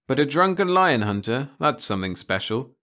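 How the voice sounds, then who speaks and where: A man's voice: medium pitch, big pitch swings, moderate pace, fairly bright tone, voice pushed up. A man voicing a vain, self-pitying, hard-drinking male film star with a plaintive manner in a story. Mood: pleading.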